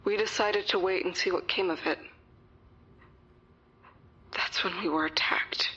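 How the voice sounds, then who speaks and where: An actress playing a frightened woman survivor, speaking slowly in a hushed, shaken way in a fan audio drama. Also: lowish voice, steady tone, husky, heavily acted. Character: frightened young woman survivor, recounting a crash. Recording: dull lo-fi sound.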